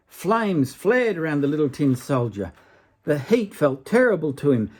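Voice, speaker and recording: A man's voice: a little high, darkish tone, husky. An older man reading a children's story aloud expressively, dramatically, with asides to a young listener. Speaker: storybook reader.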